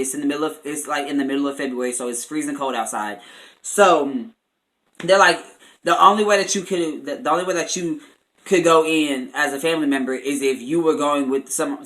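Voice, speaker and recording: Bright-voiced young American man telling a story. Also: medium pitch, poor audio. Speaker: vlogger. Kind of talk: casual storytime chat.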